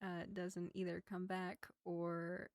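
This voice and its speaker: A woman chatting casually to followers as an audio creator, soft-spoken and a little hesitant. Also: lowish voice, monotone, takes it slowly, dry recording, studio quality. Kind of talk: casual outro talk to followers.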